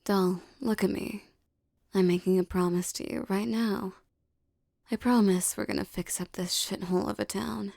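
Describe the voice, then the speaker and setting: A woman playing a tough, doting young mafia boss girlfriend in an intimate ASMR romance roleplay, talking softly in tender, teasing street slang. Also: fairly low pitch, creaky, dry recording, studio quality. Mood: earnest, devoted.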